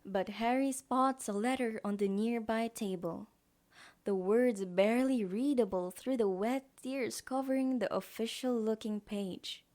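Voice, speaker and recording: A woman's voice: fairly high pitch, unhurried, darkish tone. A woman narrating a scripted audio drama as its storyteller.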